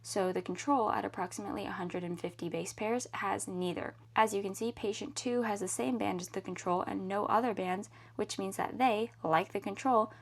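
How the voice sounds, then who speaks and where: A young woman in her teens explains lab results in a clear, methodical voice-over. Her tone is steady and her voice often dips into a gravelly fry. She sounds close to the mic. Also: medium pitch, moderate pace, few pauses. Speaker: science channel host.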